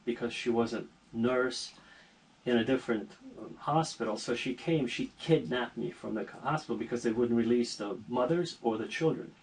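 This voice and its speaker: A middle-aged man from Armenia talks his way through his life story in an earnest, rambling style, often breaking off and restarting his sentences. His voice sits at an ordinary pitch and sounds a little distant from the microphone. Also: moderate pace, hardly any pauses. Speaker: interview guest. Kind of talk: casual video-call conversation.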